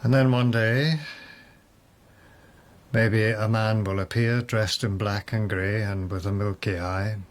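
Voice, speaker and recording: A man's voice: fairly low pitch, steady tone, takes it slowly, pauses often. An actor in a radio drama playing a mad, doomed nobleman in a rambling, wry, reflective monologue. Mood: wry, resigned. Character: mad, doomed nobleman musing on his death.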